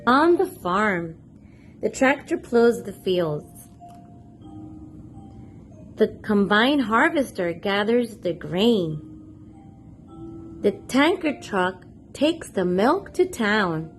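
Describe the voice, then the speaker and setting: Female children's storyteller, slow and very expressive picture-book delivery, addressing kids directly, music underneath. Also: mid-range voice. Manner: clearly paced, expressive, addresses young listeners with questions. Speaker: children's read-aloud storyteller and channel host.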